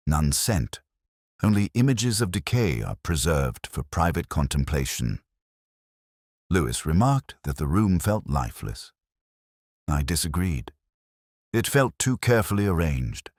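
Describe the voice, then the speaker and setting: A very deep-voiced, middle-aged detective narrates his own case. He is brooding and scholarly, phrasing things with literary solemnity. His intonation moves expressively within that low register, and the recording is dry and close. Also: dry recording, full character voice. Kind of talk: scripted first-person detective narration.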